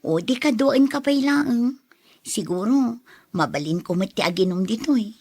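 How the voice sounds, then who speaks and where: A troubled young woman in love, a daughter in a radio drama. Her voice sits on the high side, recorded close and dry. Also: lively intonation, moderate pace, a little mellow, no echo. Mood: concerned. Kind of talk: radio drama acting in dialogue.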